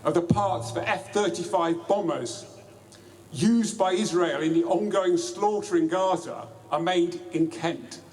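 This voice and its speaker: A man giving a deliberate protest speech outdoors at a street rally, with small restarts. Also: high voice, a little mellow, soft background music. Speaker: rally speaker.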